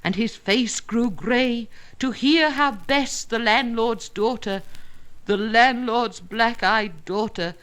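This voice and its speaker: A woman reading narrative poetry aloud in a dramatic, measured and expressive manner, with deliberate pauses and emphasis. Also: a little high, low-quality recording. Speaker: poetry reader.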